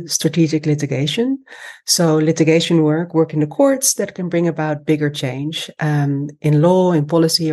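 Woman in her 40s, podcast guest, low voice, measured and pausing as she explains stuff. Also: a little mellow. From Amsterdam, Netherlands. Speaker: podcast guest, human rights lawyer. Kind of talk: conversational personal account in an interview.

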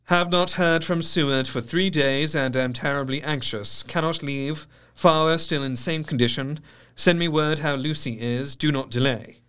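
An actor playing a grave, shaken Victorian doctor, narrating a diary in measured, literary style for an audio drama. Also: male voice, on the high side, steady tone, a bit bright, clean tone, natural voice. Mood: earnest. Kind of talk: dramatic scripted first-person narration.

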